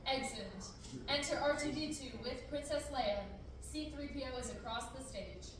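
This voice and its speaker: Brisk stage-direction reader, woman, high voice, distant echoey room. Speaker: co-president reading stage directions. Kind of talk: table reading. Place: large classroom. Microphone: far camera. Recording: echoey room from far off, loud hiss.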